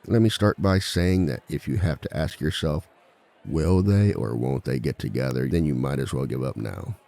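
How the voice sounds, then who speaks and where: A man playing a reformed villain who lectures at a seminar on romance in a measured, articulate, formal and dryly self-assured way. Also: extremely low pitch, creaky, warm tone, full character voice. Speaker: seminar speaker and relationship expert. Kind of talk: scripted comedic lecture roleplay.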